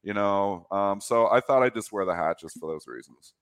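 Deep-voiced Canadian man chatting. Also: moderate pace, dry recording, studio quality. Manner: rambling, self-deprecating. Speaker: co-host. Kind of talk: casual market chat.

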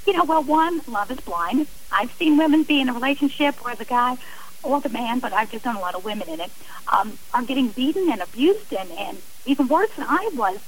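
A woman's voice: on the high side, animated, brisk, breathy edge, rough recording. A middle-aged woman speaking earnestly and emphatically as a guest in a radio interview, giving personal testimony in a conversational way. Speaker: interview guest, author and abuse survivor.

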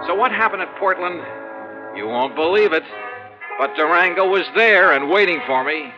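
A dull vintage radio recording with prominent music carries a brisk American male investigator. He is a wry, harried sleuth with a slightly high voice, telling his case like an expense report in 1950s detective-drama style. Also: expressive. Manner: brisk, wry first-person storytelling.

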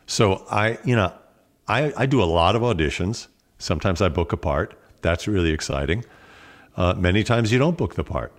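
A man with a low, animated voice speaks as a guest in an interview. He sounds reflective and wry, with a self-deprecating touch, in an easy conversational style. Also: normal speed. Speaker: guest, actor and standup comedian.

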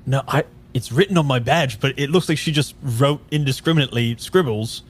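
A man's voice: medium pitch, brisk, slightly rough, natural voice. A man playing a skeptical, exasperated bank guard in an improvised audio drama, with a dry, incredulous delivery.

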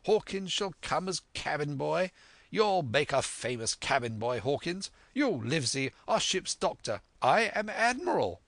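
A man voicing an excitable, blustering old country squire in an audiobook, eager and boastful. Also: mid-range voice, slow, vocal fry, dry recording, exaggerated voice. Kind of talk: audiobook character voice.

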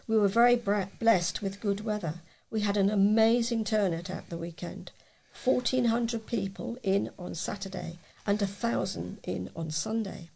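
A British woman reading local news aloud as a volunteer reader, in a measured, plain way with a gravelly, husky voice. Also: medium pitch, darkish tone, rough recording.

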